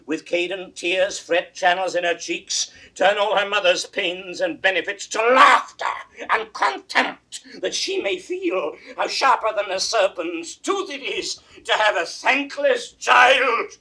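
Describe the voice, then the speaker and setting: Slow, thundering proud old king. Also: sits high, animated, far from the mic, exaggerated voice. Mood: furious, cursing. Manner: grand, slow, declamatory, swinging from hushed to roaring. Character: aged king, proud, raging at his daughter. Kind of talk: 1960s classical Shakespeare audio drama acting.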